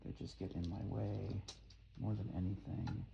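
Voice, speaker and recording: A man's voice: sits low, level tone, normal speed, creaky, muffled recording. A man thinking aloud in a casual unboxing vlog, muttering to himself and repeating words, on a noisy amateur recording. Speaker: product reviewer. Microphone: phone, handheld or nearby.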